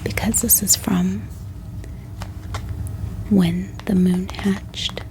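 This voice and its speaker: An American woman speaking in a soft, gentle ASMR style as she presents books in a calming, unhurried manner. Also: medium pitch, slight background noise. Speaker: ASMR book reviewer and host. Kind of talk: soft-spoken whispered ASMR haul.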